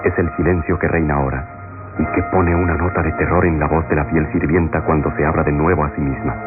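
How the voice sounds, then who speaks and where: Very deep male radionovela narrator, tinny sound. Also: level tone, quick pace. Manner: dramatic, suspenseful. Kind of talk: classic radionovela scripted narration. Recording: tinny old radio recording, music behind.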